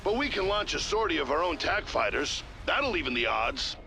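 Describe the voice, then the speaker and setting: A cocky slangy ship engineer, gravelly, really animated, game voice. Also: male voice, on the high side, moderate pace, exaggerated voice, low-quality recording. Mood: upbeat briefing. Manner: casual, slangy, brash. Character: cocky, folksy male starship engineer. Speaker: ship engineer giving tips. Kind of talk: video game character acting. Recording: loud game music behind.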